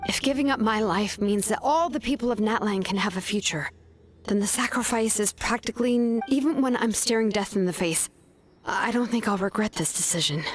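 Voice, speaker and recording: An actress playing an earnest, emotional young heroine in a fantasy video game. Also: female voice, mid-range voice, brisk, soft background music, lightly acted. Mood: resolute. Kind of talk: scripted video game voice acting.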